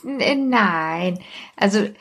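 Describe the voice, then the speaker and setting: A woman's voice: medium pitch, animated, slow pace, creaky, breathy edge. A woman talking casually on a podcast in a chatty, anecdotal way. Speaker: podcast co-host or guest.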